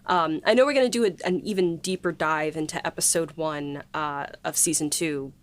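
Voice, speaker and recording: A woman co-hosting a podcast in casual conversation, animated and acting out bits of dialogue as she talks. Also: low voice, normal speed. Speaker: podcast co-host and writer.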